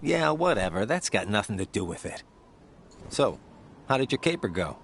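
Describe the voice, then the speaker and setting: A man's voice: lowish voice, very animated, normal speed, a bit bright, a little hiss or hum. An actor playing a laid-back, teasing young man in a video game, casual and wry like an older brother. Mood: casual, joking.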